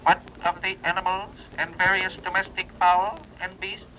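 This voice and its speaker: A stiff, formal leader of a hidden civilization. His high voice is flat and sharply bright, coming over a radio link in a vintage adventure serial. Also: moderate pace. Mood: formal, submissive. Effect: radio transmission. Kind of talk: 1930s radio serial acting. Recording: noisy vintage radio recording.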